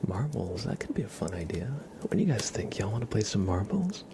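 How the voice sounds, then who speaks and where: A man hosting an ASMR livestream, chatting casually to viewers in a soft, mostly whispered, gentle and unhurried murmur. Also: lowish voice, sing-song, noisy background.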